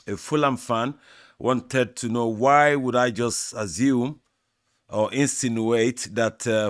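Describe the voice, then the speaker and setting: A Nigerian man, a native Yoruba speaker, hosting his own football channel and giving measured, opinionated answers straight to his fans. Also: medium pitch, no echo, clean studio sound. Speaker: sports channel host. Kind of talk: football opinion talk to camera.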